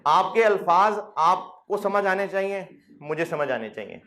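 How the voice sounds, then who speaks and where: A man's voice: sits high, animated, measured pace, a bit bright. A male accounting lecturer, talking to students in a classroom in a chatty, coaxing way. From Pakistan. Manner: conversational, coaxing, teasing with mock quotes.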